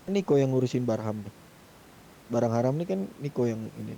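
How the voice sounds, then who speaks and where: A man's voice: mid-range voice, animated, brisk, mellow. A young man with a colloquial Jakarta Indonesian accent, chatting casually with a group in an online game. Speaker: GTA roleplay gamer in a group meeting. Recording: muddy gaming mic with keyboard noise.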